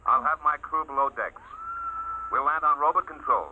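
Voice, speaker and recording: An actor playing an awkward but obliging male cruiser captain speaking haltingly over a radio transmission, 1950s drama style. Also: a little high. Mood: awkward, businesslike. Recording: dull radio link, strong noise.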